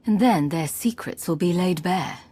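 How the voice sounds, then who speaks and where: A woman's voice: on the low side, animated, normal speed, warm tone, husky. An actress playing a composed female spy turned commander in a video game, speaking in a cool, decisive, dry manner. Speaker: player character commander. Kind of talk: video game cutscene acting.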